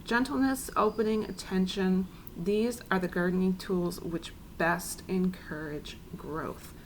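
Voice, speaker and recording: Woman, tarot reader, hoarse and raspy today; easygoing, gentle delivery over audible background noise. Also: on the low side, fairly even intonation, unhurried, poor audio. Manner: soothing, chatty, addresses viewers as guys. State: raspy, clearing throat. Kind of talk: casual spiritual reading.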